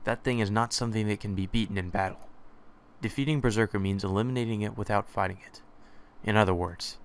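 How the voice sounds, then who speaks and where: Low-voiced man reading a visual novel. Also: fast, a little hiss or hum. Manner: steady first-person reading with small stumbles. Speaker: let's play narrator.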